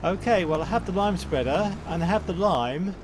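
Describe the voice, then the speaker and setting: Gameplay host, male, croaky, rambling and self-correcting, faint game noise underneath. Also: high-pitched, animated, normal speed. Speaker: gaming channel host. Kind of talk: casual farming-sim gameplay commentary.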